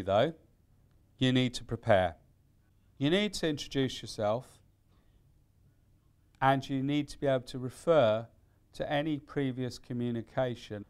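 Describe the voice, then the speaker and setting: A man giving an instructional presentation as a careers consultant, with deliberate, emphatic phrasing. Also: medium pitch, a little mellow, clean tone.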